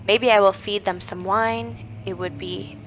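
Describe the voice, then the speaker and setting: Raspy young woman streaming a horror game. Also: medium pitch, steady tone, a little slow, a bit bright, slight background noise, poor audio. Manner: reads notes aloud, then jumpy reactive chatter. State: getting over a cold. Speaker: gaming streamer. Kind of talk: horror game let's play commentary. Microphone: headset. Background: game music.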